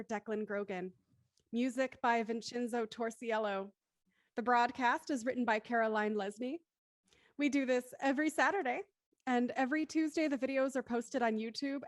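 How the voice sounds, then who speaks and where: A woman host with a fairly high voice, recorded close and dry. She speaks in a casual hosting style, addressing guests and listeners directly. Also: no echo. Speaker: host of an online radio drama after-show. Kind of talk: casual hosting, audience sign-off.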